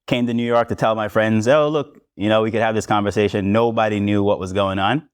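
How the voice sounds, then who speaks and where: A man with a Jamaican accent, a podcast host speaking on a conference panel in a relaxed, conversational way. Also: fairly low pitch, a little fast, clear, resonant tone, no room sound. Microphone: lavalier mic.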